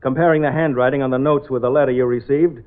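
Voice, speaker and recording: A man's voice: medium pitch, steady tone, fast, smooth, natural voice. Man as a stern sarcastic cop, mid voice, tinny old radio sound. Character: stern, sarcastic male police detective. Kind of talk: 1940s radio acting.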